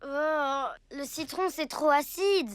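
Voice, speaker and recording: A performer voicing a playful little girl mouse in a French preschool cartoon, speaking in simple, expressive, childlike phrases that can turn easily put out. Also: sits high, slow, no echo. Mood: amused.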